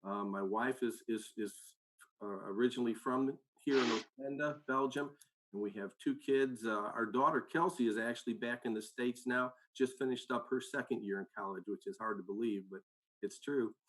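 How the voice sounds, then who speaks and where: Older retired coach guy, relaxed, mid pitch, trips over a word now and then. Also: normal speed, poor audio. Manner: relaxed, stumbles on repeats. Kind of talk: casual self-introduction.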